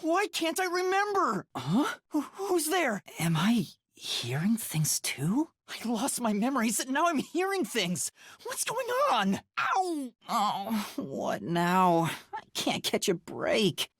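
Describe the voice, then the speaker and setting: A woman voicing a timid, bewildered boy trainee detective in a video game, with a light, youthful, slightly breathy voice and a hesitant, earnest manner. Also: a little high, huge pitch swings, takes it slowly, exaggerated voice. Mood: panicked, confused.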